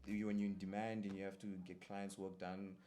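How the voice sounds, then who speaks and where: A young man from Zimbabwe, a guest artist in a casual interview, talking in a soft-spoken, relaxed and easygoing way. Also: deep voice, brisk, slight background noise.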